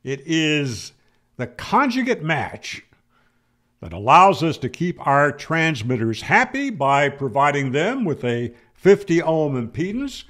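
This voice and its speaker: Older guy explaining stuff to camera, slow pace, slightly high voice, emphatic, asks then answers himself. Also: a bit bright. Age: older, 60s or 70s. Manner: emphatic, rhetorical questions and firm answers. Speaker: ham radio explainer, video host. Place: small home room, indoors. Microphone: close studio mic on boom arm.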